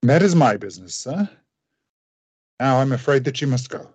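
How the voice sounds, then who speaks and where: A man playing a guarded, irritable gentleman doctor in a radio play, with a husky, creaky voice. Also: mid-range voice, expressive, normal speed, no room sound. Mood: guarded, weary.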